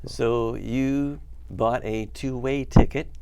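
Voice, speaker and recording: A man's voice: mid-range voice, steady tone, slow, gravelly, noisy background. A man interviewing a guest, who asks courteous leading questions.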